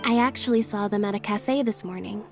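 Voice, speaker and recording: Composed young woman voiced by an actress, medium pitch, calm, deliberate, gently serious, video game drama, faint music behind. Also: natural voice. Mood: gentle, wistful.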